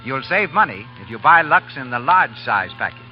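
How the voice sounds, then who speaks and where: Male announcer, lively swooping pitch, polished ad read, dull 1930s radio sound. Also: normal speed. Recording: dull 1938 radio broadcast, music behind.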